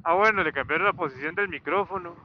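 A man with a fairly high-pitched voice talks off the cuff as he rides. He rambles and hesitates, circling back over his words. The helmet intercom mic sounds dull, with faint vehicle noise behind him. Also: fast. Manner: rambling, hesitant, repeating himself. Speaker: motorcycle vlogger. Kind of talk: casual unscripted chat while riding. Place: outdoors, riding a motorcycle on a highway. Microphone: helmet intercom microphone. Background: faint motor and road noise.

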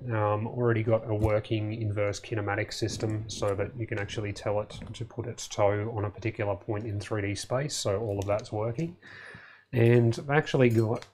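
A man in his thirties with a low voice and very little rise and fall gives a casual video update from his small home electronics lab. He talks in a relaxed, matter-of-fact way, with plenty of "um"s, and sounds slightly hoarse. The sound comes from a camera mic a short way off. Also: slight background noise. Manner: relaxed, matter-of-fact, many "um"s and "you know"s. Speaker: electronics channel host.

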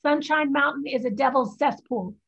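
A woman's voice: high-pitched, normal speed, fairly bright tone. A woman playing a cheeky, blunt young Chinese sister who works as a scribe, in a play reading over a muffled video call. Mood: teasing. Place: home room on video call.

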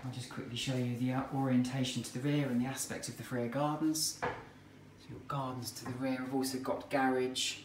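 A man with an English accent giving a relaxed, chatty walk-through of a house for sale, filmed on a handheld phone indoors and in the garden. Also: mid-range voice, a little fast, a little hiss or hum, low-quality recording. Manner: relaxed, chatty, plain sales patter. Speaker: estate agent giving a house tour. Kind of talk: walk-through property tour narration. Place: inside a detached house and its back garden. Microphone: handheld phone camera.

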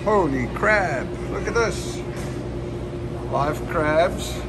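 A man's voice: fairly high pitch, animated, drawn-out words. A South African man vlogging casually as he walks through a large supermarket, musing aloud with frequent ums. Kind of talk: casual walking vlog. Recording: loud store hum behind voice.

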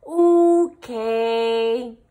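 A woman voicing a grumpy, excitable little boy dragon in a storybook, exclaiming and growling with drawn-out words. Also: a little high.

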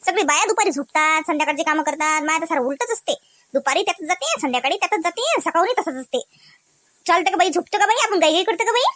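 A woman's voice: very high voice, animated, fast, a bit bright, dry recording, studio quality. A woman voicing a chatty, homely wife and mother in a cartoon, speaking. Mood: playful scolding. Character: chatty homemaker wife and mother, fond, mildly grumbling. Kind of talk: conversational cartoon dialogue.

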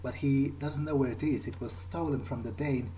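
A man's voice: mid-range voice, steady tone, moderate pace, warm tone, a little hiss or hum, rough recording. A man hosting a gaming video, improvising in character and thinking aloud in hesitant, musing fragments. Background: game music.

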